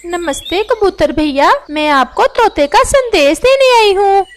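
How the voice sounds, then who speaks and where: Sly cartoon crow played by a woman, female, very high and swooping, gossipy, falsely sweet, goading. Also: lively intonation, a little slow. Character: sly, scheming female crow stirring up quarrels. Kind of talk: animated children's moral story dialogue.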